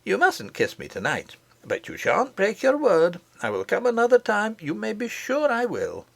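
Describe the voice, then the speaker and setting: A man's voice: high-pitched, wildly swooping pitch, normal speed, vocal fry, fairly bright tone, husky, dry recording, voice pushed up, heavily acted. A man voicing a brave, kindly miner boy who is cheerful and reassuring, in an audiobook. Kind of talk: audiobook character voice.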